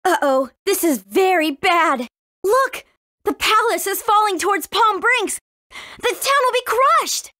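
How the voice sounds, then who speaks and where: A woman's voice: extremely high pitch, expressive, a little slow, sharp tone, no echo, studio quality, exaggerated voice. A woman voicing a spirited, cautious fifteen-year-old warrior princess in a video game, earnest and youthful in her delivery. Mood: alarmed. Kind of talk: English video game voice acting.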